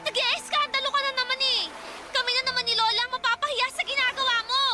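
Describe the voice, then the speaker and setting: A woman's voice: very high voice, fairly even intonation, quick pace, very noisy. A defiant teenage girl. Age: teens. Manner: sharp-tongued, defiant. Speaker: younger sister. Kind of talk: radio drama acting.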